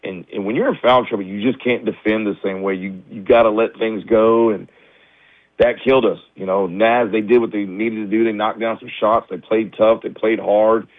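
A man, a high school basketball coach, talking candidly in a radio interview with lots of restarts; his voice is a little raspy as he recovers from the flu. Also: steady tone, moderate pace, no echo. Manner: candid, many restarts and fillers. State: recovering from flu, low energy. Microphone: phone line. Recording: tinny dry phone line.

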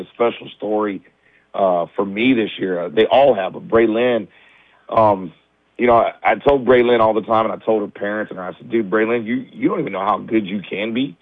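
Raspy coach on a phone line. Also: male voice, on the low side, normal speed, no echo. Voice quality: a little raspy. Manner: candid, many restarts and fillers. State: recovering from flu, low energy. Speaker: high school basketball coach. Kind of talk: phone-in radio interview. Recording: tinny dry phone line.

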